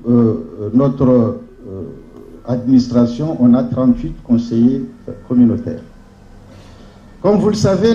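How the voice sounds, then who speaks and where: A man giving a formal welcome speech as a local official, deliberate and halting between short phrases. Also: medium pitch, mellow. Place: large room at a public conference. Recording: dull, echoey conference hall PA sound.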